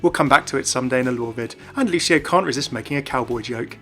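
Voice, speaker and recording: A man's voice: medium pitch, quick pace, fairly bright tone, soft background music. A man hosting a gaming video with enthusiastic scripted narration.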